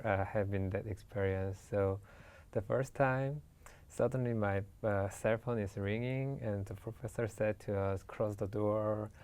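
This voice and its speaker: A young man from South Korea, speaking halting English with a Korean accent and many fillers as a student guest in a casual interview. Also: fairly low pitch, darkish tone, smooth. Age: young adult.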